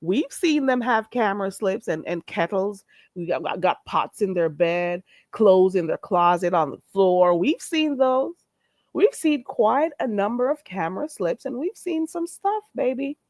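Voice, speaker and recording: A woman's voice: mid-range voice, expressive, measured pace, a little mellow, no room sound. A woman hosting a live stream, trading blunt, profane gossip and greeting her viewers, a little stuffy-nosed. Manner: greets and thanks viewers mid-talk. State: stuffy nose. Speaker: livestream host.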